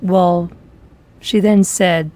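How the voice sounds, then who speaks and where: A woman giving a slow, somber first-person horror story narration. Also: fairly low pitch, fairly even intonation, background noise.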